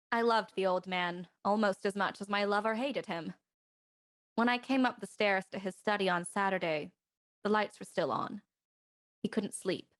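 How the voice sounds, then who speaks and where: A woman's voice: mid-range voice, natural voice. Lovelorn, candid Victorian woman played by a woman, middle register, audio mystery drama.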